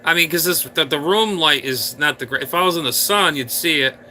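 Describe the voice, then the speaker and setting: A man's voice: a little high, fairly quick, bright, forceful tone, a little hiss or hum. A man hosting a casual live stream, rambling playfully and talking straight to his viewers from a room indoors.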